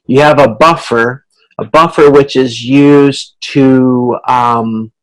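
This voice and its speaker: A man with a medium-pitched voice gives an informal online lecture. He explains in a halting way, often restarting a phrase or repeating words before carrying on. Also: fairly even intonation, low-quality recording. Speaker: instructor. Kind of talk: informal explanatory lecture over video conference.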